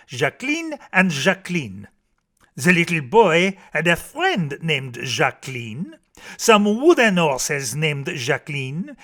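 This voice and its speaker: A storyteller playing an easygoing father who tells silly tales in a deliberate, repetitive way. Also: mid-range voice, sharp tone, full character voice.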